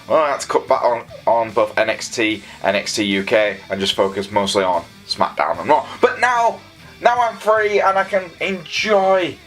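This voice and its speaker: A man's voice: lowish voice, a bit bright. A man with a Yorkshire accent gives an enthusiastic, opinionated, casual review as a wrestling show reviewer. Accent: Yorkshire English. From Leeds, England. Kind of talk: casual scripted-free review commentary.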